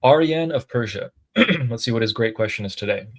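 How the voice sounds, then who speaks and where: A man co-hosting a live stream, reading viewer messages aloud and thinking out loud in a casual, chatty way. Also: medium pitch, lively intonation, fairly quick. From United States. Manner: chatty, thinks aloud with fillers and throat clearing. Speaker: co-host reading viewer super chats.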